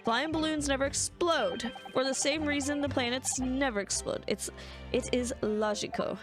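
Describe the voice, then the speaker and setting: A woman's voice: high-pitched, moderate pace, close to an everyday voice. Spoiled boy royal, fake Central European accent, prim and petulant; a woman puts him on, game music under. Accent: put-on Central European accent. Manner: stiff, formal, petulant. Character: haughty young boy prince, whiny and proud.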